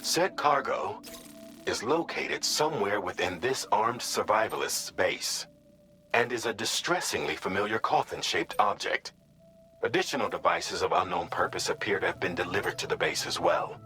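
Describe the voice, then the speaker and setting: A man's voice: fairly low pitch, animated, moderate pace, pushed and bright, a little hiss or hum. An actor playing a businesslike mission briefer in a video game, formal, precise and composed as he lays out orders.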